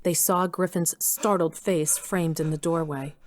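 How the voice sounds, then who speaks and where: A woman's voice: sits low, fairly even intonation, measured pace, noisy background. A woman reading scripted story narration as the narrator of an audio drama.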